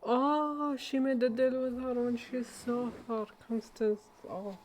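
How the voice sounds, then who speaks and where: A woman's voice: a little high, fairly even intonation, takes it slowly, darkish tone. A woman livestreaming a story game, reacting casually and repeating words back thoughtfully. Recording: noisy home stream microphone.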